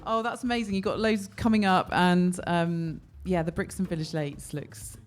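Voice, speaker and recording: An English woman hosts a radio show in a fairly low voice. She chats casually with a friendly, enthusiastic and informal manner. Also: steady tone, moderate pace. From England. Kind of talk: casual chat interview. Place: radio studio.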